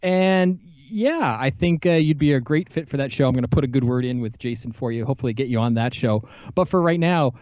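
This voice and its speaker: A man's voice: medium pitch, expressive, a little fast, darkish tone, no echo. A man hosting a casual podcast interview, chatting with a guest. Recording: slightly dull online-call recording.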